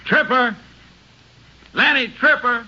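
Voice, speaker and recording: A resolute radio Western lawman calling out orders. The voice is pushed very high and piercingly sharp, on a dull, slightly noisy old recording. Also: takes it slowly, heavily acted. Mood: commanding. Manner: calling out loudly, commanding. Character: resolute lawman shouting to a cornered outlaw. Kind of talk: 1950s radio drama acting.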